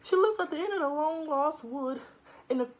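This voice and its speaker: A woman voicing an innocent little girl in a storybook reading, high and girlish. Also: normal speed, lightly acted. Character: sweet, innocent little girl in a red cloak.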